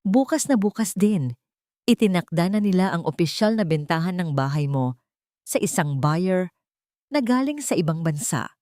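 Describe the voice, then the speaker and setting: A man's voice: sits high, lively intonation, moderate pace, a little mellow, no room sound, clean studio sound. A woman voicing a serious, urgent male lawyer and protector in an audio drama story. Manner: grave, urgent.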